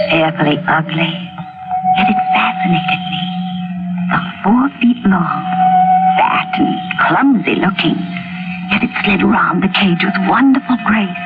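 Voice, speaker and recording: An actress playing a fragile, haunted young wife who narrates in a dramatic 1940s radio mystery style. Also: female voice, lowish voice. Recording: tinny vintage radio recording with loud music.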